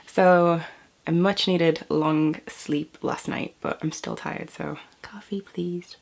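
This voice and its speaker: A woman's voice: lowish voice, fairly even intonation, slow, vocal fry. Chatty British woman with a stuffy cold. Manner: chatty, rambling, laughs often. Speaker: vlogger. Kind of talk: casual chat to camera.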